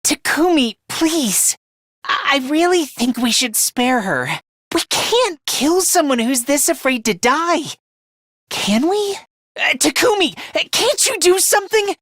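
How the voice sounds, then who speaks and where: A man's voice: extremely high pitch, sing-song, takes it slowly, fairly bright tone, no room sound, studio quality, exaggerated voice. A man voicing a timid, anxious, self-loathing young male student in an anime video game, with a thin voice and a stammering, whiny delivery. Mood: anxious pleading.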